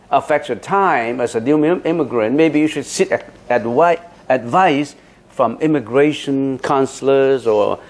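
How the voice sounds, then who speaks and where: A man's voice: medium pitch, a little mellow. A middle-aged man who speaks Chinese as his first language, a Buddhist monk answering audience questions in a casual, chatty way, often repeating words and laughing. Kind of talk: Dharma question-and-answer talk.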